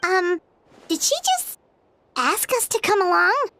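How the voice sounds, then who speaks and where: A woman's voice: extremely high pitch, expressive, unhurried, background noise, heavily acted. A woman voicing a tiny, outspoken, loyal companion in a video game, chirpy and blunt. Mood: indignant.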